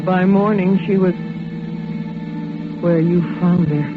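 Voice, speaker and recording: Guilty nurse, tinny radio sound. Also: female voice, lowish voice, steady tone, slow, loud music behind the voice. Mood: subdued confessing. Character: guilty female nurse, accomplice confessing to police. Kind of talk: 1940s radio drama acting. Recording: tinny, very noisy old radio broadcast.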